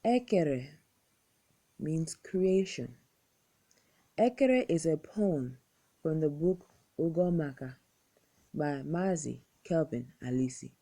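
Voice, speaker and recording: A young woman with an American accent speaks in slow, measured phrases, lingering on each line as she recites. Her voice sits on the low side and has a mellow, warm tone. She paces herself with care and never hurries. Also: lively intonation. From United States. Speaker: contest entrant. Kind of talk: recorded poetry recital and appeal.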